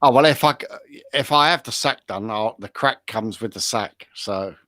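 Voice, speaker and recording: A man chatting casually in a halting way as a guest on a show. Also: mid-range voice, bright, forceful tone, no room sound, clean studio sound. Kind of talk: casual chat.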